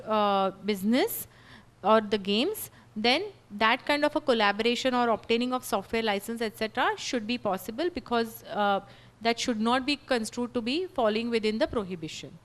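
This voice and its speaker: Woman, panelist, slightly high pitch, measured, explanatory. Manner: measured, explanatory, frequent "you know" and "right?". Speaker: legal panelist. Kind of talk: panel discussion answer.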